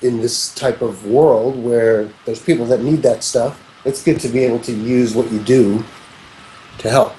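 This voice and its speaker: A man in his early fifties speaks with a mellow, warm voice, drifting through long reflective thoughts and restarting his sentences as he talks. He is a guest in a relaxed interview, and a faint hiss of noise sits behind him. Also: mid-range voice, a little slow, rough recording. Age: 50s. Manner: rambling, frequent restarts and "you know"s, reflective. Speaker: interview guest, music producer. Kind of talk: casual conversational interview.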